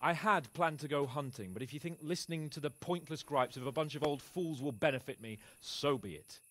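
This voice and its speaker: A man's voice: fairly high pitch, brisk, fairly bright tone, no room sound. An actor playing an arrogant, hot-tempered young nobleman in a video game, with a haughty, sneering drawl. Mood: sulky. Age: young adult. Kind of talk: dramatic video game acting.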